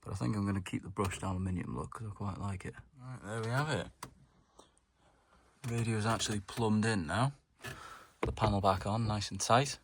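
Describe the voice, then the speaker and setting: Man, low voice, casual DIY how-to narration, informal, thinking out loud while working. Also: steady tone, moderate pace, long pauses, slight background noise. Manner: informal, thinking aloud as he works. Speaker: DIY car mechanic presenter.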